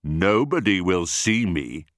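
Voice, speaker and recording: Man acting slow, gloating enormous crocodile. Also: low voice, sing-song, fairly bright tone, dry recording, clean studio sound, full character voice. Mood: smug, scheming. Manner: boastful, gloating, relishing each word. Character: enormous greedy boastful crocodile, gleefully menacing.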